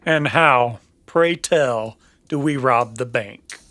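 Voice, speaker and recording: A man playing a wry, steady Old West bounty hunter in a radio western, drawling and sardonic. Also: mid-range voice, slow, voice pushed up. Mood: incredulous. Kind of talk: old-time western radio drama acting.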